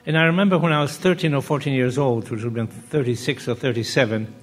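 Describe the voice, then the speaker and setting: An older man from Poland gives a measured academic lecture at a mid-range pitch. He pauses often and restarts his phrases. His voice carries a long reverb, as if from a large hall. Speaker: panel speaker.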